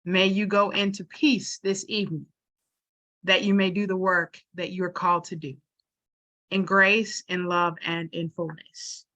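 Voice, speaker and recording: A woman's voice: fairly low pitch, flat delivery, unhurried, sharp tone, highs cut off. A woman moderator closing a panel with thanks and a solemn spoken blessing.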